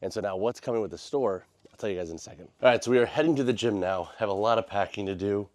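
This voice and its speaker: Male American vlogger, lowish congested nasal voice, loose slangy chat, dry close sound. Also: quick pace, darkish tone, no room sound. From United States. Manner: informal, slangy, rambling. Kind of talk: casual vlog chat.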